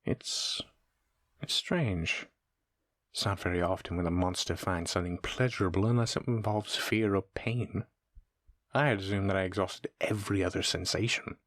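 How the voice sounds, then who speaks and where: Casting: male actor for a sadistic, playful monster in horror audio, lowish voice, close dry sound, taunting and musing with slow menace. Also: no room sound, natural voice. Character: sadistic playful male shape-shifting monster, sinuous and many-legged. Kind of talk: horror audio roleplay addressed to the listener.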